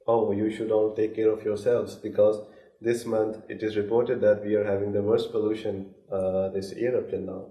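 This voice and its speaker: A man's voice: fairly low pitch, flat delivery, moderate pace, warm tone. A man from Pakistan, a doctoral student, giving information with some hesitant fillers. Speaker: PhD student. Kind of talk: scripted discussion. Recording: echoey room, distant mic.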